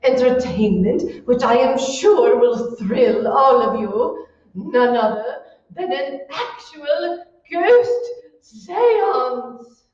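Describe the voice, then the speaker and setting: High, swooping, pompous society hostess. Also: female voice, lively intonation, very slow, a little mellow, exaggerated voice. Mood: gushing, grand. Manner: theatrical, grandiose, over-enunciated. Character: pompous, gushing, bossy society hostess playing medium. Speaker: amateur stage actor. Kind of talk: old-time radio comedy acting. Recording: echoey room, distant mic, dull sound.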